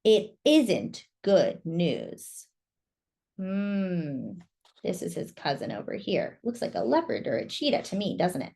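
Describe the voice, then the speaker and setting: A woman storyteller reading a picture book aloud to children, pausing to ask listeners questions and comment on the pictures. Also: lowish voice, big pitch swings, creaky, warm tone.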